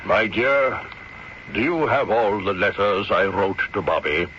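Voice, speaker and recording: An actor playing a courtly, sly man posing as a gem professor in a radio mystery drama, theatrical and measured. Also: fairly low pitch, lively intonation. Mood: regretful, learned. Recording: dull, slightly noisy old radio recording.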